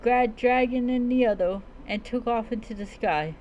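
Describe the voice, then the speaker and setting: A woman storyteller narrating a fantasy roleplay dramatically, haltingly repeating phrases as she goes. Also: on the high side, darkish tone, muffled recording, slight background noise.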